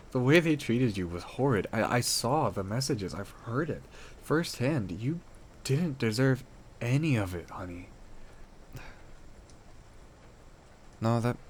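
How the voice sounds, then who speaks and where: A man's voice: medium pitch, expressive, a little slow, slight background noise, close to an everyday voice. A man playing an affectionate, playful and protective boyfriend in an intimate roleplay audio drama, talking in a tender, teasing, slightly stammering way. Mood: gentle, concerned.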